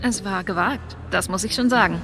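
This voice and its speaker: A woman's voice: mid-range voice, normal speed, over music, lightly acted. A young woman playing a friendly, light-hearted bar worker in a video game, in natural acting.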